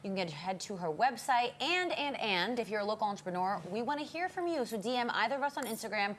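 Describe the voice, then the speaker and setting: A woman's voice: fairly high pitch, animated, quick pace, fairly bright tone, a little hiss or hum. A woman hosting a TV talk show in a studio, bubbly and enthusiastic. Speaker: TV show host.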